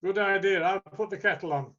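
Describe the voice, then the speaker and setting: A man's voice: high-pitched, fairly quick, a bit bright, highs cut off. A man playing a wry, jokey older friend in an amateur comedy sketch.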